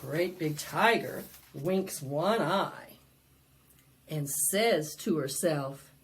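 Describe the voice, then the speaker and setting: Female children's storytime host, unhurried pace, very lively pitch, sing-song delivery. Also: a little hiss or hum. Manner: sing-song, dramatic, asks children questions. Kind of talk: animated preschool read-aloud with singing.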